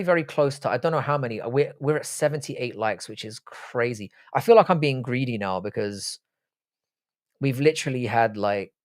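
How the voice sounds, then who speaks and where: A man in his forties with a South London accent, hosting a casual live stream, rambling, swearing freely and answering viewers' chat. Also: medium pitch. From South London, England. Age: 40s.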